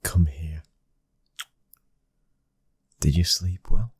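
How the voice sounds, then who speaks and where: A British man playing a protective, devoted young mafia heir and lover in an intimate ASMR roleplay, speaking softly and tenderly, often near a whisper. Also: deep voice, fairly even intonation, extremely slow, breathy edge, dry recording, exaggerated voice. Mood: affectionate, relaxed.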